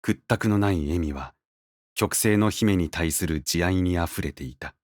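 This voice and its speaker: A deep male voice, an actor's, narrating a fantasy audio drama slowly and gravely. The delivery is measured and solemn, full of awe, in a dry, close recording. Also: no echo. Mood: solemn, awed. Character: solemn male narrator, awed by a legendary hero.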